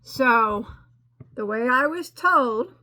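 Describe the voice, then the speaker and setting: A woman talks casually about her crafting, her voice bright and a touch high. She rambles and restarts, joking about her own rookie mistakes. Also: animated, drawn-out words. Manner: rambling and self-deprecating, with frequent restarts and laughs. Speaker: crochet channel host. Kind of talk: casual chatty crafting update.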